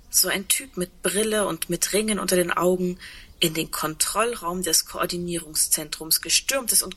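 An actress playing a wry, resigned, reflective woman in a radio drama, with a husky voice and dry humor. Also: medium pitch, bright, forceful tone. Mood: playful, musing. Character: tall, wry, resigned woman insolvency administrator facing doomsday. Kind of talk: radio drama dialogue acting.

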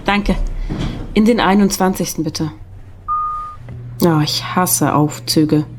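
A woman's voice: on the low side, slow, noisy background. A woman acting a friendly, chatty young office secretary in an audio drama.

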